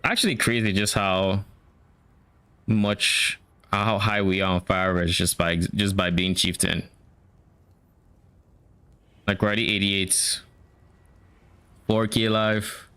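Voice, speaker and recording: Smooth-voiced Nigerian-Canadian man. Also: fairly low pitch, steady tone, slow pace, long pauses, pushed and bright. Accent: mix of Nigerian and Canadian. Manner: thinking aloud, musing and self-questioning. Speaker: game streamer. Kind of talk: live stream, casual chat while planning a game build.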